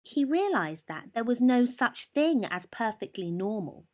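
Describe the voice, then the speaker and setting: A woman storyteller reading a children's picture book expressively, with deliberate phrasing, drawn-out emphasis and dramatic pauses. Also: on the high side, big pitch swings, a little mellow. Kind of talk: expressive bedtime story narration.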